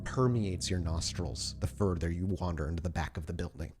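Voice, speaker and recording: A man's voice: low voice, a little fast. A man narrating a tabletop roleplaying game as storyteller, setting scenes in cinematic, descriptive detail. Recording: background music under the voice.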